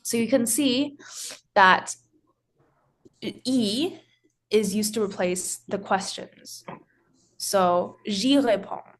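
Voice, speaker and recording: A woman teaching French grammar in English, explaining slowly with many pauses, restarts and filler words. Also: medium pitch. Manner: hesitant, frequent restarts and "so". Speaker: French tutor.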